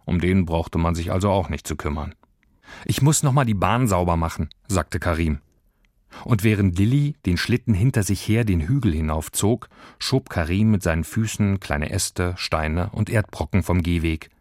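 A man's voice: deep voice, moderate pace, darkish tone, dry recording, studio quality. A man reading scripted narration for a children's story. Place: dry studio.

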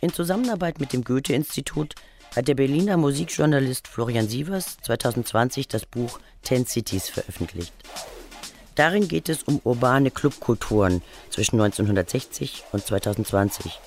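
A man's voice: medium pitch, lively intonation, normal speed, darkish tone. Male documentary narrator over faint music. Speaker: radio feature narrator.

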